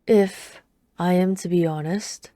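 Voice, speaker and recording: Woman as a low-voiced, restrained dutiful knight. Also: steady tone, mellow, no echo. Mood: reluctant. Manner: dry, restrained, formal, measured. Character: reserved, dutiful female knight, dryly scolding royal lover. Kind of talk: ASMR roleplay acting.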